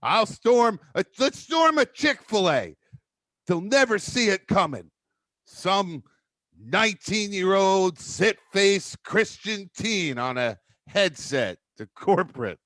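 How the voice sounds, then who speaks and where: A man with a deep voice rehearsing a stand-up bit, loose, profane and self-mocking. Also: very animated, slow pace, a bit bright, no echo. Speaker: stand-up comedian.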